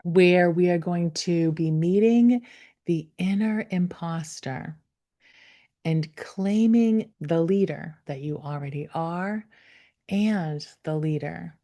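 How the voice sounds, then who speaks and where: A woman leading a guided meditation, speaking gently and without hurry, with soft, breathy phrase endings that fall toward a whisper. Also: on the low side. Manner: gentle, unhurried, with long pauses. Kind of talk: guided meditation, slow soothing instruction.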